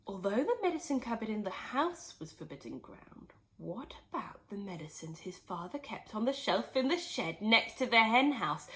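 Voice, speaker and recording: Lively woman reading a storybook. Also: on the high side. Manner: expressive, animated reading. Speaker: teacher reading a children's book. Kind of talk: storybook read-aloud.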